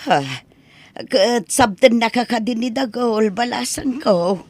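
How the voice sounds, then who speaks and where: A woman with an Ilocano accent acting as an affectionate, concerned older mother with a husky voice in an audio drama. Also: on the high side, takes it slowly.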